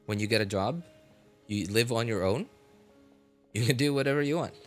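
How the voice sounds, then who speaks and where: A man in his thirties chatting loosely on a live stream, drifting between thoughts with plenty of ums and restarts and little chuckles. His voice sits at an ordinary middle pitch, with soft game music under it. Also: normal speed. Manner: rambling, filler-heavy, chuckling. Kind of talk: casual chat with stream viewers.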